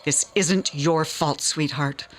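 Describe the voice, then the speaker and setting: A woman's voice: sits low, fairly even intonation, slow pace, a loud crowd. A woman playing a tender, doting character in an audio drama, soothing and fussing over loved ones. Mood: tender, reassuring.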